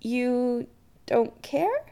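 A woman's voice: fairly high pitch, big pitch swings, unhurried, dark tone, voice pushed up. A woman voicing an anxious, incredulous young man in a story, speaking in a hesitant, defensive way. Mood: apprehensive.

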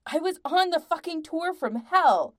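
A woman's voice: on the high side, lively intonation, moderate pace, vocal fry, mellow. Woman as a flustered, defensive young male singer. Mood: defensive, indignant.

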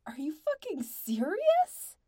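A woman's voice: medium pitch, expressive, measured pace, warm tone, close to an everyday voice. Woman acting a furious, snarling young rock musician guy. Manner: snarling, emphatic, heavy swearing. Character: furious, exasperated young male rock band member, snarling and ranting.

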